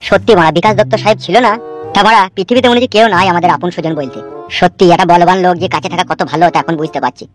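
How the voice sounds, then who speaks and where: A man acts out an excitable, servile henchman in a homemade comedy cartoon. He chatters very quickly in a crude, gossipy way, with faint music behind. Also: sits high, close to an everyday voice. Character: fawning, excitable male gangster henchman. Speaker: cartoon voice actor. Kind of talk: amateur comedy cartoon dialogue.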